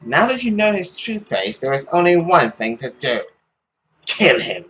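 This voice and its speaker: A man voicing a stern, possessive middle-aged mother in a dramatic, deliberate, scolding manner. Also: a little high, very animated, fairly bright tone, dull audio, a little hiss or hum. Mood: commanding.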